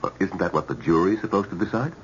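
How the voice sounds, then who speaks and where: An actor playing an earnest, modest married businessman with a gravelly voice and American accent, in radio drama style. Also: low-pitched, fast, slight background noise, natural voice. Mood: curious, earnest. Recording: muffled vintage radio with music.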